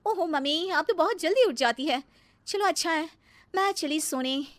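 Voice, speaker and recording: A haughty young model wife acted by a woman in an animated moral story. Her voice is very high and dry, snappish and indignant, sprinkled with English phrases. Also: normal speed, no room sound. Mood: dismissive. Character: haughty young fashion model daughter-in-law, spoiled and snappish. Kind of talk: animated storybook character acting.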